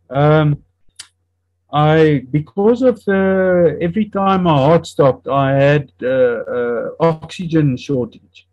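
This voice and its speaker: A man in his fifties whose first language is Afrikaans, speaking with an Afrikaans accent and earnestly recounting a personal testimony in a halting way, often searching for words and restarting. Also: medium pitch, warm tone, no room sound, dull audio. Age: 50s. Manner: earnest and reflective. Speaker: interview guest.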